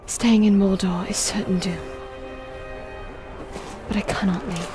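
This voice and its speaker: A woman's voice: mid-range voice, steady tone, a little slow, close to an everyday voice. An actress playing an earnest noble warrior lady in an epic fantasy game, solemn and declarative. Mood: solemn, concerned. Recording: music under the voice. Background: cinematic game music.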